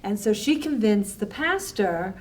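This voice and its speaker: A woman recalling her work as a festival co-founder in a conversational documentary interview, haltingly searching for words. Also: medium pitch, animated. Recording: distant mic with background noise.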